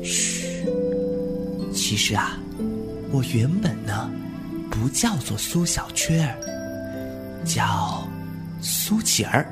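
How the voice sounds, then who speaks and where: A man's voice: mid-range voice, big pitch swings, slow, long pauses, loud music behind the voice. A man playing a glib, cheeky young swordsman in a Chinese martial-arts radio drama, with a teasing, flippant manner.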